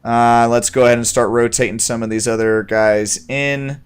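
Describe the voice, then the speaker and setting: A man's voice: medium pitch, flat delivery, moderate pace, clear, resonant tone. A man hosting a gaming livestream, upbeat and busy, chuckling as he manages players and comments on the match. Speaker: stream host. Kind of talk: casual gaming stream commentary.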